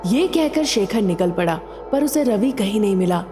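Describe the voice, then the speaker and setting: A woman's voice: medium pitch, animated, normal speed, warm tone, big-room sound, soft background music. A woman narrating a scripted story as a storyteller.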